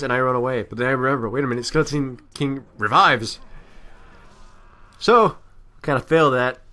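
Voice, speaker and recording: A man's voice: mid-range voice, bright, forceful tone. A man giving casual, amateur video game commentary in a rambling, self-deprecating way.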